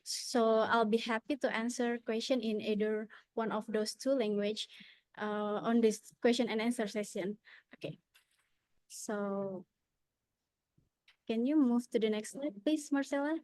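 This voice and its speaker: A woman's voice: on the high side, steady tone, a little slow, darkish tone, no echo. A young woman with an Indonesian accent giving an earnest conference presentation over a video call, often starting with "okay".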